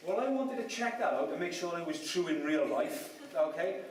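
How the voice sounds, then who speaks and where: A man's voice: fairly high pitch, animated, moderate pace. A Welsh-raised man giving a chatty, self-mocking talk to a live audience and asking them questions. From Wales. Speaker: speaker at a property event. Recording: echoey room, mic at a distance.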